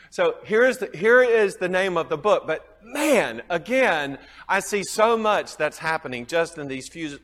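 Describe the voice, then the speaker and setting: A man's voice: on the high side, fairly bright tone, big-room sound. A man with a Southern US accent teaching a Bible class, chuckling often and quizzing his students.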